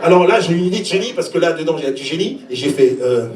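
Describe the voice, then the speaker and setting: A man's voice: fairly high pitch, quick pace, a little hiss or hum. A man in his forties performing stand-up comedy on stage, exclaiming, acting out little scenes and talking straight to the audience. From Switzerland. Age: 40s. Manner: animated, exclamatory, acts out little scenes and reactions; talks directly to the audience. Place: small comedy venue open stage, indoors. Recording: echoey live room, distant mic.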